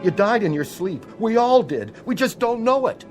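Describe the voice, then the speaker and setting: High-voiced, skeptical airline copilot. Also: male voice, very animated, normal speed. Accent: American. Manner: incredulous, questioning. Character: excitable, skeptical male airline first officer. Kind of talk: radio drama acting. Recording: music under the dialogue.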